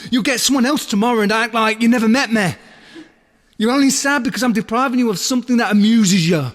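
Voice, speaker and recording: A man's voice: very high voice, fast talker. A man playing a hurt, blunt boyfriend accusingly ending a relationship in an audio drama. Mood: bitter, angry. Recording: long reverberant hall sound.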